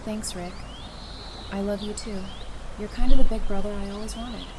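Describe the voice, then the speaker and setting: An actress playing a caring, steady woman survivor in an audio drama, speaking in a gentle conversational way. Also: medium pitch, moderate pace, close to an everyday voice. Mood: moved. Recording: clearly noisy outdoor ambience behind voice.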